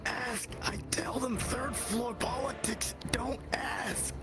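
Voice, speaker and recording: A performer voicing a frightened young male servant who gives hushed, fearful denials. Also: medium pitch, steady tone, slow pace, loud music behind the voice.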